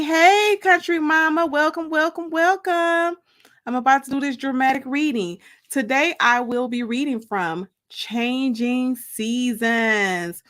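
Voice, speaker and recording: A woman's voice: fairly high pitch, fairly bright tone. An American woman from Detroit hosting a livestream and reading aloud from her own book as its author. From Detroit, United States.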